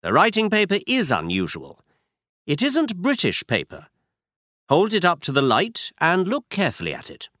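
Male reader playing a sure-footed genius detective, crisp delivery, pitched up and lively. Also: normal speed, no echo, studio quality, full character voice. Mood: instructive. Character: brilliant confident male detective. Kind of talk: audiobook character reading.

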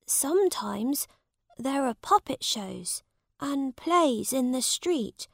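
A woman's voice: sits high, animated, takes it slowly, vocal fry, no echo, studio quality, voice pushed up, exaggerated voice. A woman voicing a poor young storybook boy who is polite, honest and earnest. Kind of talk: storybook character voice.

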